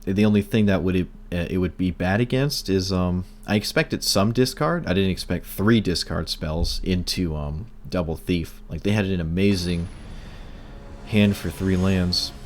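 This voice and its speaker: A man streaming a card game, talking through his plays in casual commentary, hoarse and losing his voice, wry and muttering at bad luck. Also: sits low, normal speed. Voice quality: breaking, cracking voice.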